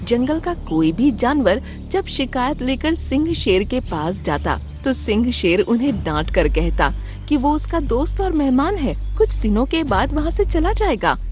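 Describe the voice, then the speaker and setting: Lively woman narrating a children's story. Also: fairly high pitch.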